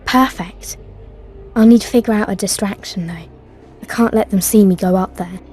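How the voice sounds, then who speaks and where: A woman's voice: medium pitch, normal speed, close to an everyday voice. An actress playing a brave young girl who talks in a decisive, conspiratorial way in a video game cutscene. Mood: determined.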